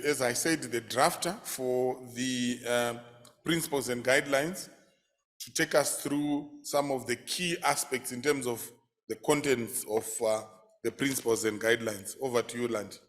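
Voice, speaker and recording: A man's voice: medium pitch, measured pace. A man giving a formal, earnest workshop presentation in a conference hall. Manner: earnest, hands over to speakers.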